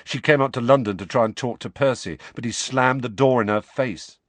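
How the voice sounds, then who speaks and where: A man's voice: medium pitch, brisk, no echo, pitched above the performer's own voice, lightly acted. A man reading an audiobook, voicing a glum teenage boy who talks in a casual, blunt, slangy way. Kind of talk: audiobook character voice.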